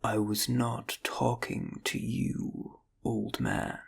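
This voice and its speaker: A man voicing a stern human bodyguard who speaks in a cold, clipped, menacing way with a gravelly, husky voice. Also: medium pitch, flat delivery, extremely slow, heavily acted. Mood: coldly menacing.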